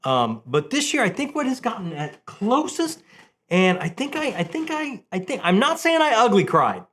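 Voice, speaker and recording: Animated man chatting casually about favorites. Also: high-pitched, moderate pace. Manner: animated storyteller, repeats phrases for emphasis. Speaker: book review video host. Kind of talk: casual conversational book chat.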